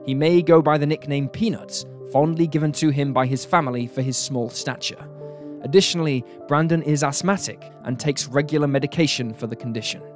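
A man narrating a true crime story from a script in a measured, serious manner. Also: a little high. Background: background music.

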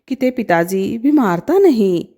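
A woman's voice: on the high side, very animated, moderate pace, mellow. A woman voicing a devoted young doctor daughter in a storybook tale, speaking earnestly and pleadingly to her father. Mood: worried.

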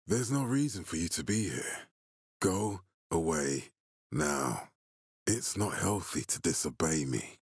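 An actor playing a menacing middle-aged military leader in a video game, authoritative, curt and measured. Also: male voice, sits low, lively intonation, husky, dry recording, studio quality, heavily acted. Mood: stern, controlled. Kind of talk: dramatic video game voice acting.

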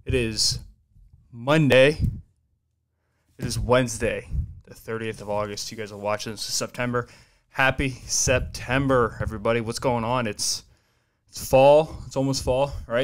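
A man's voice: medium pitch, fairly even intonation, normal speed. A man of twenty-two hosting his own podcast solo, rambling casually and swearing freely, recorded on a desk mic in a small home studio. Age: 20s. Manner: rambling, loose and profane, self-reflective. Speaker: podcast host. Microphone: desk mic on boom arm, headphones on.

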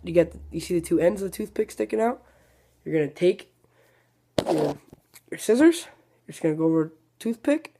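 A man's voice: sits high, brisk, long pauses, a little hiss or hum. A man hosting a fishing video, casually demonstrating a tackle trick step by step and often restarting his sentences. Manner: relaxed, rambling, self-correcting explanations.

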